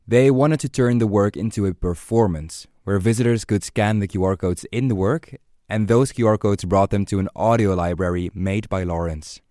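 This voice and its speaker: A male podcast host delivers scripted narration in a low, very mellow voice. The sound is close and dry, with hardly any room on it. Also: moderate pace, no room sound.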